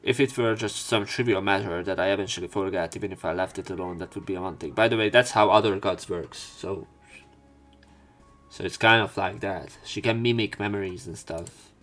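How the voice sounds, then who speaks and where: A man reading visual novel narration aloud as a let's play commentator, with halting casual asides where he corrects himself. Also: deep voice, fairly bright tone, faint music behind.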